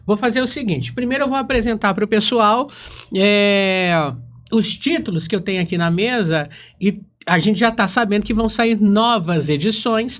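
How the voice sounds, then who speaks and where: A man's voice: high voice, fairly bright tone. A man hosting a casual interview, chatting in an informal, playful and teasing way. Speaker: interview host.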